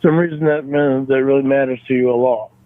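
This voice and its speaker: A man on a phone call, arguing defensively in a halting, repetitive way. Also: mid-range voice, fairly even intonation, a loud crowd. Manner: halting, repeats himself, defensive. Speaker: phone caller. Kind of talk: argumentative private phone call. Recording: tinny, narrow phone line.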